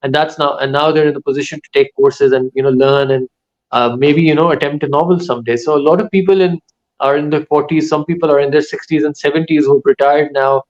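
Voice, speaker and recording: Man with a thoughtful, halting delivery. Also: on the high side, steady tone, highs cut off. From Pakistan. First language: Urdu and Saraiki. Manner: thoughtful, pauses often mid-sentence. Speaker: author and writing teacher. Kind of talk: casual interview answers.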